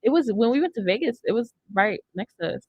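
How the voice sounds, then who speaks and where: A woman's voice: on the high side, sing-song, fast talker, phone-quality sound, a little hiss or hum. A young American woman hosting a casual podcast chat from a studio, close on the microphone. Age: young adult. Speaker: radio show host. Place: indoor studio. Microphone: close studio microphone on boom arm.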